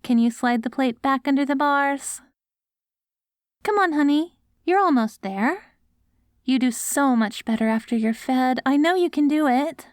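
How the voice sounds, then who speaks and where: Casting: woman to play doting postapocalyptic wife for ASMR roleplay, higher-pitched voice, sweet and patient coaxing, slow delivery. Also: dry recording, studio quality. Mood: encouraging. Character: doting wife caring for her ghoul husband after apocalypse. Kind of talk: ASMR roleplay audio drama.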